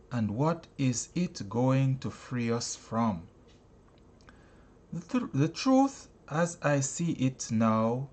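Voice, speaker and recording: Slow, deliberate man reading inspirational teaching. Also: medium pitch, a little mellow. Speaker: teacher reading a self-help book aloud.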